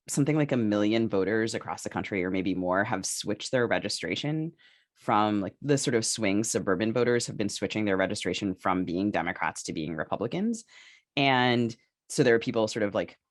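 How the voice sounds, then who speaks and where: A woman co-hosting a podcast discussion, speaking casually and haltingly as she thinks her points through aloud. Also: rumbling low. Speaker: podcast co-host.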